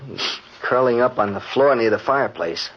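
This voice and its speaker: Husky, animated, tired companion. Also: male voice, medium pitch, normal speed. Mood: wry, tired. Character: dog-tired friend standing guard, wry and practical. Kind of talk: old-time radio mystery acting. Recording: dull old radio recording.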